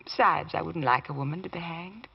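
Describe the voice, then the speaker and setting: A tender yet firm wife in an old radio play. Her voice is breathy with a creak in it, heard through a dull vintage recording. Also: sits low, fairly quick, heavily acted. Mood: tender, resolute. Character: devoted, gently firm wife, tender and self-sacrificing. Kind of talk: 1940s radio drama acting.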